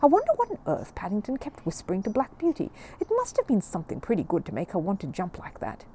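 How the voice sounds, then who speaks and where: Blustering storybook dad, middle-aged, exasperated, lively swings; female reader performs. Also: medium pitch, fast, slight background noise. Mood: astonished. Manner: exasperated, emphatic.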